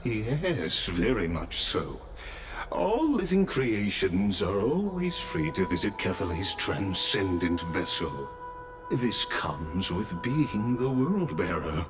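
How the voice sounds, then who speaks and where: Game role: serene, courteous temple guardian, deep voice with a wide, expressive melody. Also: male voice. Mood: welcoming, calm. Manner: gracious, formal, unhurried. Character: courteous, serene male guardian priest of a titan. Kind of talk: video game voice acting. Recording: music behind the voice.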